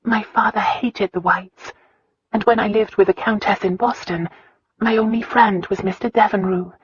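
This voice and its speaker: A reader voicing a gentle, earnest young woman who speaks softly and sincerely, at times in a whisper. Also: medium pitch, fairly even intonation, moderate pace, breathy edge, dry recording, pitched above the performer's own voice. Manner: soft, sincere, sometimes whispering. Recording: dull lo-fi audiobook recording.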